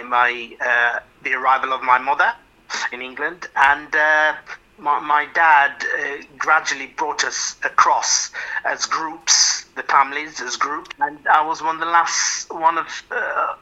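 An older man from Jamaica, recalling his past in an interview with a hesitant manner, often repeating words and chuckling softly. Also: a little high, little pitch movement, a little slow, muffled recording. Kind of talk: reminiscing interview.

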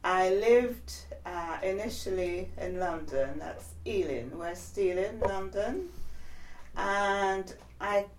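A woman in her seventies from Trinidad, recalling her life in a measured, reflective oral history interview. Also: medium pitch, roomy sound, distant mic, slight background noise, low-quality recording. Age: 70s. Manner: measured, reflective, trailing off softly.

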